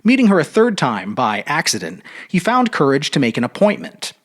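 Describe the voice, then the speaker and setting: Animated, higher-pitched man reading literary fiction. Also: normal speed. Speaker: storyteller reading fiction aloud. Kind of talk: expressive audiobook narration of a literary short story.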